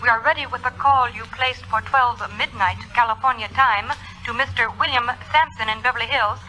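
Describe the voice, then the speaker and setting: An impersonal telephone operator in vintage radio drama. Her very sharp, bright voice announces in formal, clipped phrases over faint music. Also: a little high, fairly even intonation, a little fast, rough recording. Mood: brisk, impersonal. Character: crisp, impersonal female long-distance telephone operator. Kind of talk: 1950s Hollywood radio drama acting.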